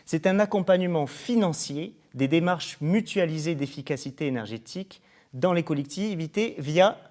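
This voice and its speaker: A man's voice: fairly high pitch, moderate pace, a little mellow. A man presenting a programme in an interview, formal and explanatory, often going through points as lists. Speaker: programme coordinator being interviewed.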